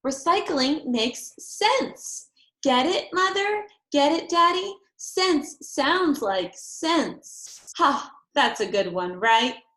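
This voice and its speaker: A woman voicing an excitable, talkative little girl from a storybook, bubbly and wide-eyed, with emphatic, exclamatory childlike delivery. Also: high-pitched, slow pace, roomy sound, pitched above the performer's own voice, full character voice. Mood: delighted, proud.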